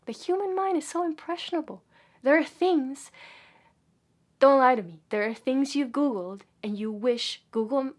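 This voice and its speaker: A woman vlogging in a casual, opinionated monologue, with sudden loud emphatic bursts and quiet asides. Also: fairly high pitch.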